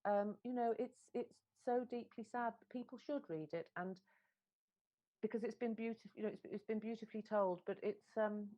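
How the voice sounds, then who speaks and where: A woman's voice: fairly high pitch, fairly even intonation, moderate pace, mellow, dull audio. A woman from Wales, speaking earnestly and with passion as a translator in a panel discussion, often reworking her phrases. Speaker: literary translator.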